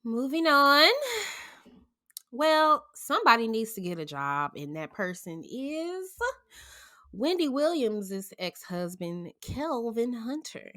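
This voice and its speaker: A woman from New Orleans talking at an unhurried pace with a bright, forceful tone. She co-hosts a podcast in loose, blunt chat, trading slang and laughs. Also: a little high, sing-song. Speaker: podcast co-host. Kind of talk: casual chat.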